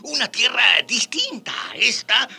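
An actor playing a wry, conciliatory Dominican friar in a radio drama, speaking in a theatrical, emphatic way. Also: male voice, very high voice, huge pitch swings, a little slow, vocal fry, pushed and bright, breathy edge, full character voice. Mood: persuasive.

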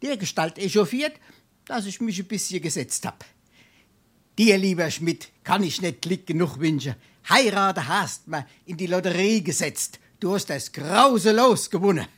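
High-voiced, pompous Hessian scrounger. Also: male voice, lively intonation, a little slow, a bit bright, breathy edge, heavily acted. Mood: smooth, evasive. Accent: Darmstadt Hessian. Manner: pompous, flowery, mock-courteous. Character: wily, shameless male scrounger and bon vivant. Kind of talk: dialect stage comedy acting.

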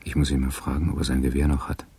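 A man's voice: a bass voice, level tone, fast, breathy edge, no echo, exaggerated voice. An actor playing a grumbling, self-reproachful police detective in a radio crime drama, muttering his lines. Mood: uneasy, muttering. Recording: distant from mic, loud background noise.

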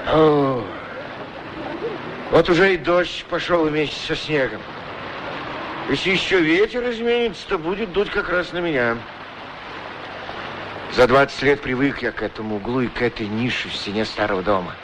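Radio play: modest, courteous shoeshine man, played by a male actor, middle-aged, ruminative, very expressive intonation, faint street noise behind. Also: fairly high pitch, moderate pace, pauses often, close to an everyday voice. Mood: wistful, musing. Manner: ruminative, humble, folksy, courteous. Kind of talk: radio play acting, musing monologue and dialogue. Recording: radio drama recording with steady noise.

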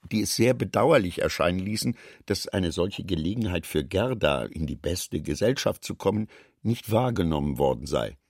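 A man narrates a literary radio play from a script. His voice is husky and gravelly, recorded close and dry. He reads long sentences in a measured way, with lively, expressive intonation. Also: on the low side, no echo.

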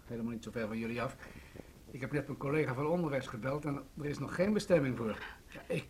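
A man's voice: mid-range voice, quick pace, lightly acted. A man acting a jovial middle-aged government official in a radio play. He banters in a mid-range voice with a slightly patronising, slippery manner. The recording carries a faint noise. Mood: hedging, earnest. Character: jovial, teasing, evasive male local government official. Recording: noisy old radio drama recording.

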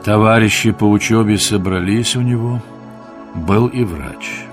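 A man's voice: on the low side, measured pace. A man narrating a radio play in a scripted storytelling style. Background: music under the voice.

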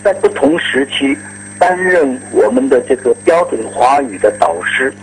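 A man reminiscing casually in a halting way, ending phrases on drawn-out particles. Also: medium pitch, lively intonation, a little hiss or hum, rough recording. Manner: halting, frequent trailing particles.

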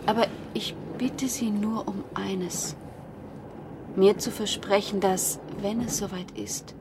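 Doomed young gothic wife: grave, resolute, pleading, some fry in the voice, noisy backdrop. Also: female voice, mid-range voice, fairly even intonation, slow pace. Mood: solemn pleading. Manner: grave, pleading, dramatic hushes. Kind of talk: German radio drama acting.

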